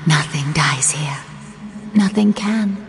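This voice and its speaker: An actress playing the sorrowful ghost of a dead elf lover, solemn and mournful, in an audio drama. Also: female voice, low voice, slow pace, faint music behind. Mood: eerie, gentle. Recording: long reverb, faint ambient noise.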